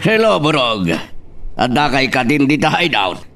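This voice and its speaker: Casting: male actor as scheming Filipino gang boss, slow and emphatic, widely swinging pitch, radio drama. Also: very animated, fairly bright tone, far from the mic. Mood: agitated, commanding. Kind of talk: radio drama acting in Ilocano.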